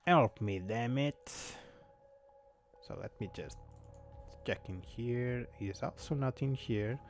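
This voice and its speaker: A man's voice: medium pitch, vocal fry, a little mellow, a little hiss or hum. A man who is not a native English speaker, talking casually while coding a game on a live stream and muttering his thoughts aloud with many fillers. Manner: thinks aloud, mutters to himself, frequent fillers.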